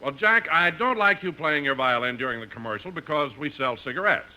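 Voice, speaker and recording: An actor playing a genial, blunt announcer and comic foil in a 1950s radio comedy. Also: male voice, on the high side, animated, a little fast, noisy background, close to an everyday voice. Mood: genially blunt.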